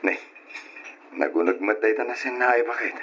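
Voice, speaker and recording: An actor playing a drunken, carefree husband in an old radio drama, with slurred, drawling, husky talk. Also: male voice, on the low side, normal speed, a bit bright, muffled recording, slight background noise, close to an everyday voice. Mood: dismissive. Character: drunken, carefree husband and father who brushes off warnings.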